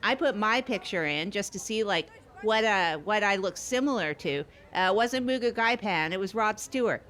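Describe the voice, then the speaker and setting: A woman's voice: medium pitch, lively intonation, normal speed, a bit bright, natural voice. A woman playing an earnest, scatterbrained small-town podcast host who rambles and repeats herself matter-of-factly in improvised comedy banter. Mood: earnest, chatty.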